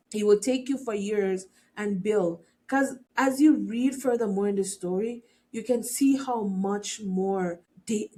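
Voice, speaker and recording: A woman's voice: medium pitch, mellow. A middle-aged woman from Jamaica, with a light Jamaican accent, hosting a faith-based podcast. She talks earnestly and often repeats words while she searches for the next thought. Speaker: podcast host. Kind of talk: faith-based reflective monologue.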